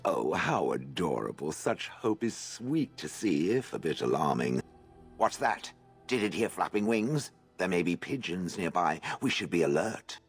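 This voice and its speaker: An actor playing a haughty, drily gloomy stone golem in a video game, deadpan and condescending. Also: male voice, sits low, measured pace, breathy edge, faint music behind, full character voice. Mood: mocking.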